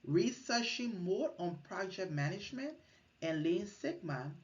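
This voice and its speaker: A woman's voice: lowish voice, very animated, measured pace, lo-fi sound. A woman from Liberia, giving an earnest recorded class presentation, partly read and with small restarts. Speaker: student presenter.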